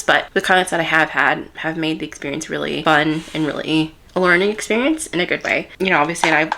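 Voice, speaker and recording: A woman in her late twenties talks to the camera while doing her makeup, sounding slightly hoarse and congested from a cold. Her voice sits a little low but comes across bright and forward. She rambles softly and openly, like chatting with a friend. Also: a little hiss or hum. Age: 20s. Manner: soft, rambling, candid. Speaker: vlogger. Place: at home.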